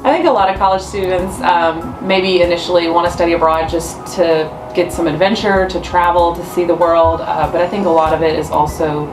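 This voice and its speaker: A woman's voice: lowish voice, steady tone, a little fast, hardly any pauses. A woman speaking reflectively as a staff member in a documentary interview. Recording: echoey room, distant mic. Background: background music.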